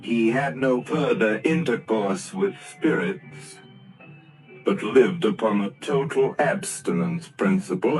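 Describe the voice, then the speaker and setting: A man narrating the close of a classic story in a measured storybook manner on an old radio broadcast. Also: on the low side, a little mellow, rough recording. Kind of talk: scripted narration.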